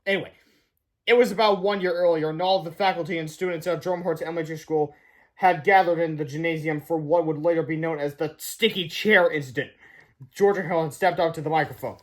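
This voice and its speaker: High-voiced man reading a children's book aloud. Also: fairly even intonation, brisk. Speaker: read-aloud reader.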